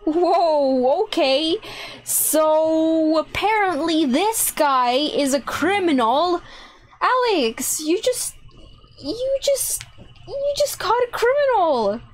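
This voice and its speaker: A young woman hosting a gaming video, narrating and chatting through a roleplay in an exclamatory, animated way. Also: extremely high pitch, slow pace, slight background noise. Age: young adult. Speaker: YouTube gaming host.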